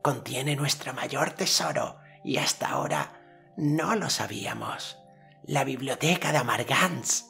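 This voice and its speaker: Brief: stately old silver elder, read by a male narrator, gravelly, middle pitch, faint music behind. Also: big pitch swings, unhurried, sharp tone, full character voice. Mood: solemn. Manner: stately, declaiming. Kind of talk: audiobook character voice.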